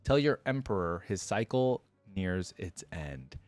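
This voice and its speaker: A man voicing a grim, proud, ancient jackal demigod in a solemn, measured storybook reading. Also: deep voice, sing-song, dry recording, clean studio sound, soft background music. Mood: grim defiance.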